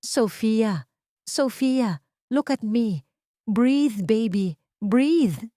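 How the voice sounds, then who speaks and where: A woman's voice: on the high side, expressive, drawn-out words, dark tone, no room sound, studio quality, pitched above the performer's own voice. A woman voicing a desperate, defiant young boy who is protective and urgent, in an audiobook drama. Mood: panicked, urgent. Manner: urgent, pleading.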